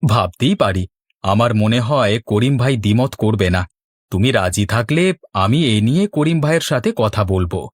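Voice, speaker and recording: A man voicing a worried, mild middle-aged village father in a cartoon, speaking in a measured, earnest way. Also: lowish voice, lively intonation, breathy edge, dry recording, clean studio sound. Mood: earnest, hopeful. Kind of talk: scripted Bengali cartoon dialogue.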